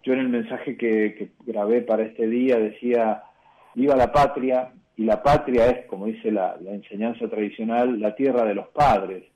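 A man with an Argentine accent, a bishop answering questions in a radio interview, measured and reflective, often pausing and restarting. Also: medium pitch, fairly even intonation, lo-fi sound. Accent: Argentine Spanish.

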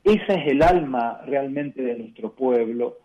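A man with an Argentine accent, a bishop answering questions in a radio interview, measured and reflective, often pausing and restarting. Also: medium pitch, warm tone, dull audio. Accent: Argentine Spanish.

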